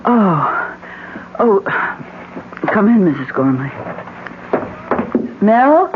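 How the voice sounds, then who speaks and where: A woman's voice: mid-range voice, very animated, extremely slow. An American actress playing an anxious, questioning woman in a radio mystery drama, with a dramatic, urgent delivery. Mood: shaken, earnest. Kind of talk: old-time radio mystery drama acting. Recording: narrow, noisy vintage radio drama recording.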